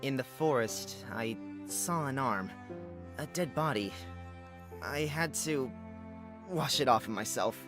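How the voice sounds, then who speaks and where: A man's voice: mid-range voice, expressive, slow pace, a bit bright, soft background music. A man playing an uneasy young traveler lost in a forest, speaking with questioning uncertainty in a visual novel. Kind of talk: visual novel character acting.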